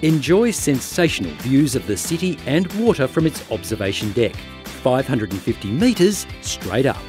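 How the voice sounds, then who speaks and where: A man's voice: medium pitch, very animated, normal speed, over music. A man, the host, giving upbeat, playful scripted narration for a travel show. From Australia.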